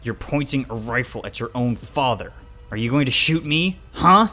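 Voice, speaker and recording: A man's voice: lowish voice, moderate pace, fairly bright tone, faint music behind, natural voice. A man voicing a stern, pious animal father in a dramatic story, scolding and accusing with disgust. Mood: cold disgust.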